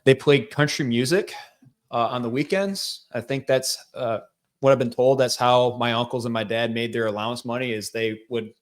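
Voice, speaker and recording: A man with a Michigan accent, a guest telling his life story in a relaxed, rambling way with lots of fillers. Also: medium pitch, moderate pace. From central Michigan. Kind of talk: casual interview storytelling.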